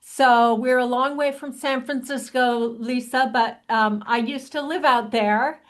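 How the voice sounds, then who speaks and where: A woman's voice: high voice, fairly even intonation, a little slow. A woman college dean and administrator introducing herself in a chatty, friendly way on a webinar panel.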